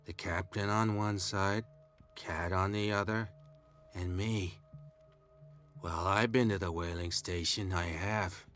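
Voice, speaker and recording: An actor playing a dazed, injured island man in a video game, muttering and rambling in a halting, confused way. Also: low voice, fairly even intonation, fairly bright tone, faint music behind. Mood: dazed, evasive. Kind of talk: video game cutscene acting.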